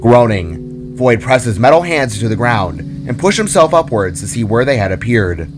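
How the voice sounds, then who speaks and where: A man's voice: medium pitch, very animated, moderate pace. A man reading a scripted fantasy story as narrator in a dramatic style, with faint music behind.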